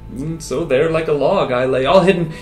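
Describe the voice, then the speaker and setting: A wistful wounded soldier, played by a man in his thirties recites a dramatic monologue in a slightly high voice. He is theatrical and halting, leaving long pauses. The room echoes and music plays behind him. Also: close to an everyday voice. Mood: melancholy. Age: 30s. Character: wounded Canadian soldier, disfigured, wistful, lovestruck, grateful. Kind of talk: dramatic poetry recital, first-person monologue. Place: small indoor room with hard walls. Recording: roomy echo, music behind.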